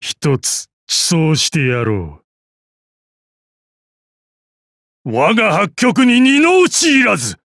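A man playing a calm, deadly old martial arts master and assassin in a video game, with a weathered, measured, wry delivery. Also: high-pitched, dry recording, clean studio sound, full character voice. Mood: fierce, commanding. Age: older. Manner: measured, wry, explosive battle cries. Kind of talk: video game battle voice lines.

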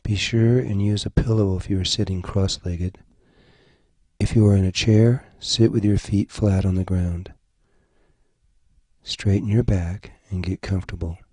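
Guy doing guided meditation, soft raspy voice, really dry close recording, soothing short phrases. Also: low-pitched, steady tone, measured pace, no room sound. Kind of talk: slow guided meditation narration.

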